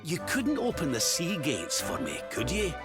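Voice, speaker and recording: Genial old Scottish royal for a game, hugely animated lilt, music underneath. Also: male voice, a little high, moderate pace. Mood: genial, chatty. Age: older. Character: genial crafty old exiled king, chatty adventuring companion. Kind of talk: video game cutscene acting. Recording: loud game music behind.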